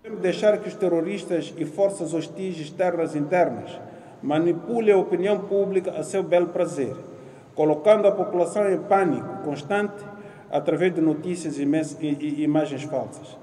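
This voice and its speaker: A man's voice: a little high, normal speed, warm tone. A middle-aged man reading a political speech at a podium in a meeting hall. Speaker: party secretary-general. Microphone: podium microphone. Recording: distant, echoing hall public address.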